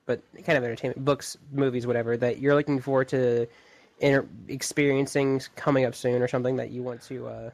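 Young male co-host, mid-range voice, dry sound, relaxed and hesitant. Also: medium pitch, brisk, gravelly, no room sound. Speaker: podcast co-host. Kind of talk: casual chat.

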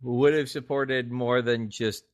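A man co-hosting a podcast, talking casually about video games at a medium pitch. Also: fairly even intonation, slow. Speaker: podcast co-host. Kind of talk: casual video game discussion.

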